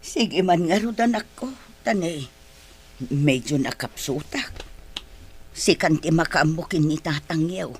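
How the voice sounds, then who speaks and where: Husky, weary older mother. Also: low-pitched, expressive, a little slow, long pauses, darkish tone, heavily acted. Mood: resigned warning. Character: weary, long-suffering older mother caring for sick husband. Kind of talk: radio drama acting.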